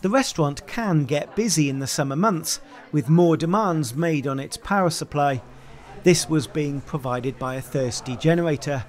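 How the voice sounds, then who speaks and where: A man narrating a scripted documentary voice-over in an even, informative way. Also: on the high side, a little hiss or hum.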